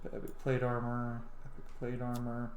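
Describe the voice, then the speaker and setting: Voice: mid-range voice, completely flat delivery, normal speed, a little mellow. A streamer giving casual gameplay commentary, rambling and thinking aloud. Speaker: streamer playing a role-playing game. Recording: roomy echo, faint background noise.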